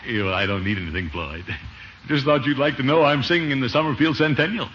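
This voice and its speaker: An actor playing a pompous, vain middle-aged uncle and small-town water commissioner, in 1950s radio comedy style. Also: male voice, medium pitch, quick pace, fairly bright tone, lightly acted. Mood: smug. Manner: pompous, self-satisfied, theatrical. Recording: dull, noisy old radio recording.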